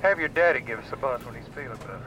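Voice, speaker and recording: A man's voice: mid-range voice, lively intonation, rapid-fire, close to an everyday voice. An actor playing a folksy, evasive and dismissive male freight boss in an audio drama, heard over a distant call. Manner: folksy, noncommittal. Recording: distant phone call, faint ambient noise.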